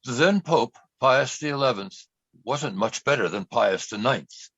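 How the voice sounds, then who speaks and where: A husky-voiced man reading scripted narration, recorded with a muffled, echoey room sound. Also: medium pitch, lively intonation, measured pace, fairly bright tone.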